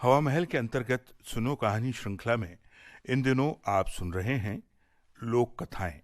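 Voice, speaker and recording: A man narrating a folk tale for radio, in an expressive, clearly enunciated storytelling delivery. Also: mid-range voice, measured pace, no room sound, studio quality. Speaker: radio storyteller and series presenter.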